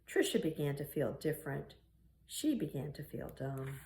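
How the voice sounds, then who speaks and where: A woman reading a children's picture book aloud in an expressive storytelling style. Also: sits low, moderate pace, a little hiss or hum. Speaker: storybook reader. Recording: roomy, echoing room sound.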